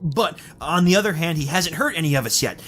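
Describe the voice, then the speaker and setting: A man playing an anxious, halting society husband in an old-time radio drama. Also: on the high side, expressive, fairly bright tone, a little hiss or hum. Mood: anxious, placating. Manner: halting, stammering. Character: anxious, hesitant, reasonable society newlywed husband. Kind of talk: 1930s radio acting.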